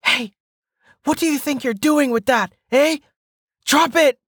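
A man's voice: mid-range voice, expressive, takes it slowly, vocal fry, fairly bright tone, no room sound, studio quality, exaggerated voice. A man voicing an angry, swaggering bandit gang boss who barks and taunts, in a fantasy audiobook. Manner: barking, taunting.

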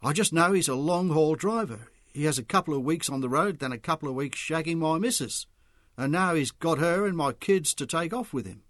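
A man's voice: sits high, lively intonation, fast talker, few pauses, no room sound, studio quality, pitched above the performer's own voice. A man voicing an agitated, bewildered working-class husband in a story, firing urgent questions. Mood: frustrated, bitter.

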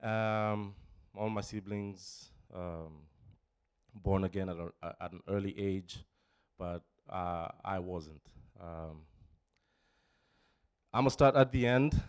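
Low-voiced man giving a halting testimony. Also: smooth, no echo, studio quality. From Kenya. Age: 40s. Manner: halting, many fillers and restarts, emotional. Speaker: church member giving testimony. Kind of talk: personal testimony. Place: church. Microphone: handheld microphone.

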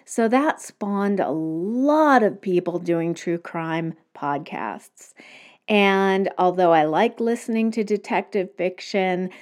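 A woman's voice: fairly low pitch, slow pace. A woman hosting a solo podcast, talking conversationally and recommending things to listeners. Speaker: podcast host.

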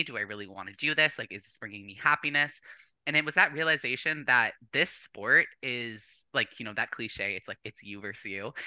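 Podcast guest: young North American woman, informal and animated, sharp bright tone, close dry sound. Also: a bass voice, clear, resonant tone, no room sound. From born or raised in Canada, later the United States. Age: young adult. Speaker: podcast guest, competitive bodybuilder. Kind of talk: casual chat, personal storytelling.

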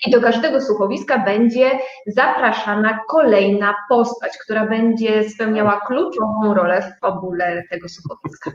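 A woman's voice: medium pitch, normal speed, few pauses. A woman speaking conversationally as a guest in a radio interview, presenting her project. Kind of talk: conversational promotional interview talk. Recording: roomy, dull muffled sound.

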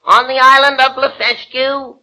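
A man's voice: extremely high pitch, level tone, normal speed, bright, forceful tone, exaggerated voice, poor audio. A man playing a pompous, fussy little king in an old radio drama. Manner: pompous, fussy, easily flustered. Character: pompous tiny male king of Lilliput. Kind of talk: 1940s radio acting. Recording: old radio broadcast with music.

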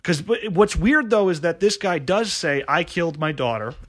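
A man co-hosting a podcast, casually retelling film scenes in a halting, restarting way. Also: a little high. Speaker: podcast co-host.

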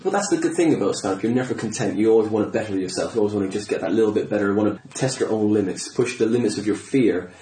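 A man with a soft, mellow voice talks casually and reflectively, as an interviewer in easy conversation. The sound is roomy, with a clear echo around his words. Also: on the low side, very fast, few pauses. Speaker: interviewer and stand-up comedian. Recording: roomy, echoey indoor sound.

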